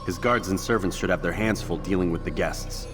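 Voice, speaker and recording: An actor playing a stern, proud assassin in a video game, speaking in a terse, serious, matter-of-fact way. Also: male voice, low-pitched, fairly quick, music in the background, lightly acted. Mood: grave, confident. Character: stern, proud young male assassin on a mission. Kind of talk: video game cutscene acting.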